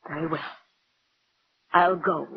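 An actress playing a tense, exasperated wife in a 1940s radio drama, with a dramatic, strained delivery. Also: female voice, deep voice, fairly even intonation, takes it slowly. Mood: cold, strained. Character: tense, exasperated wife weary of her taunting husband. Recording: muffled, hissy old radio recording.

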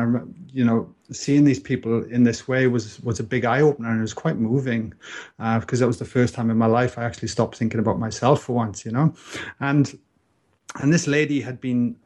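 Welsh man, 30s, podcast guest; earnest, reflective storyteller, mid-pitched voice. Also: a little mellow. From Wales. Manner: earnest, reflective, frequent restarts. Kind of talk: casual interview storytelling.

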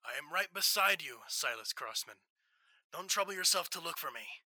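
A young man playing a stern, invisible crime-fighting investigator in classic radio drama style, measured, formal and ominous. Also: fairly high pitch, lively intonation, pushed and bright, dry recording, exaggerated voice. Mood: quiet menace. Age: young adult.

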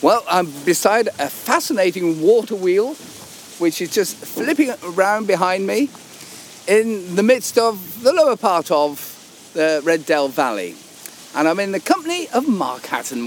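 A man co-hosting a walking podcast outdoors in a valley, hoarse from a summer cold, chatty and affirming. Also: high-pitched, big pitch swings, normal speed, slight background noise. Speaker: podcast co-host.

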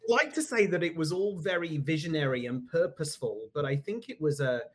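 A man's voice: on the high side, animated, fairly quick. Reflective British man in his forties. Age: 40s. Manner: reflective, self-deprecating, frequent ums and light laughs. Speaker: guest, executive coach. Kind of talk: casual interview conversation.

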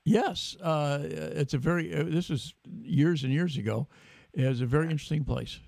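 Older guy interviewing, gravelly and mellow, lots of uhs, dry phone line. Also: fairly high pitch, dry recording. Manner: halting, hesitant, many fillers. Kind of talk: casual interview.

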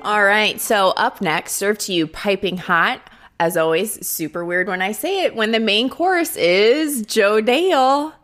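A woman with a Midwestern American accent co-hosts a podcast in a playful, upbeat way. Her voice sits at an ordinary middle pitch, and she talks casually with her guest. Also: a little slow, a bit bright, soft background music. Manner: playful and upbeat, laughs easily. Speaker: podcast co-host.

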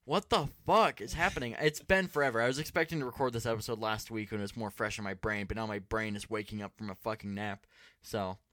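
A man's voice: fairly low pitch, fast, fairly bright tone, dry recording, studio quality. A young man hosting a podcast, rambling through a story with lots of "like" and some swearing. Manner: profane, filler-heavy, lots of "like". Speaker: podcast host.

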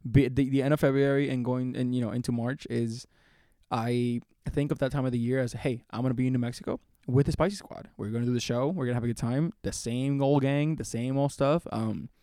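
Excitable young podcast guy. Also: medium pitch, fairly quick, no echo, studio quality. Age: 20s. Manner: laughing, excitable, swearing. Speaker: podcast co-host. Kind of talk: casual chat.

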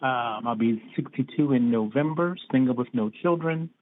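A man's voice: mid-range voice, moderate pace, slight background noise. A man in his sixties calling in to a radio show, reading out his numbers methodically. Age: 60s. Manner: reads out figures methodically. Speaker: caller. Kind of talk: phone-in caller. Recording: tinny phone line.

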